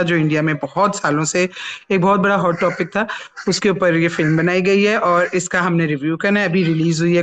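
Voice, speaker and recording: A woman's voice: on the low side, a bit bright, a little hiss or hum. A woman with a Pakistani accent hosting a casual film review chat, mixing Urdu with English phrases. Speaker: talk show host and film reviewer.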